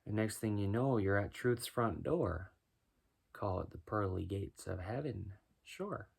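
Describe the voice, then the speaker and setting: A man with a deep voice doing a rhyming spoken-word freestyle, musing and preaching as he goes. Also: unhurried, gravelly, darkish tone, slightly rough. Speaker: freestyle musician.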